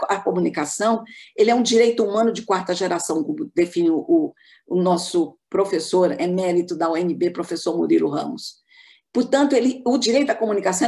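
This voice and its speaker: A Brazilian woman giving a formal, measured academic talk as a panelist on an online call. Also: fairly low pitch, a little mellow.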